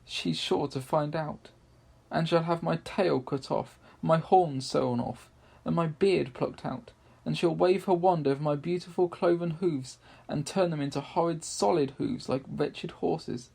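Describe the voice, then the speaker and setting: Storybook fawn, played by a man: gentle, guilty, sobbing creature, pitched up, with a husky edge. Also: unhurried, full character voice. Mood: tearful, fearful. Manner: tearful, wailing, self-reproaching.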